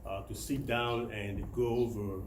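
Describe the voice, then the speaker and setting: Slow, hesitant man in an echoey room. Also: medium pitch, fairly even intonation. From Democratic Republic of Congo. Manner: hesitant, many filler sounds. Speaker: club member giving a talk. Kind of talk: prepared personal talk to a club. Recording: roomy hall with faint ambient noise.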